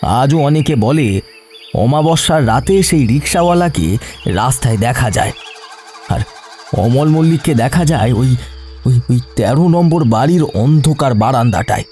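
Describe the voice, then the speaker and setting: A man playing a middle-aged village gentleman in a horror audio story, grave and fatherly, speaking slowly with pauses. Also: mid-range voice, lively intonation, faint music behind, natural voice. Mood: ominous. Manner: slow, grave, pausing. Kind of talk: dramatic Bengali horror audio story acting.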